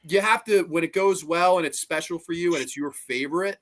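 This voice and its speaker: Bright, enthusiastic man on a podcast. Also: on the high side, fairly quick. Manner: enthusiastic, casual, swears freely. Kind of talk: casual podcast chat.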